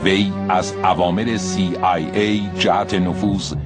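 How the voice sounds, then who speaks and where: A man's voice: sits low, flat delivery. A man reading formal, scripted documentary-style narration as a narrator. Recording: loud music under narration.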